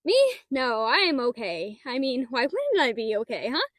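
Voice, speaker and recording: A woman's voice: on the high side, big pitch swings, moderate pace, fairly bright tone, no room sound. A woman playing a shy, nerdy, rule-bound half-dragon librarian, flustered and rambling with dry wit in an audio roleplay. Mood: nervous, evasive. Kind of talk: scripted audio roleplay addressed to the listener.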